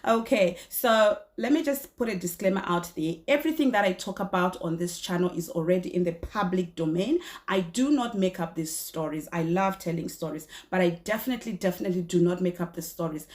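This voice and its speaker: A woman in her thirties, a little hoarse from a cold, telling a true-crime story to camera in a small indoor room, chatty with many fillers and restarts. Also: mid-range voice.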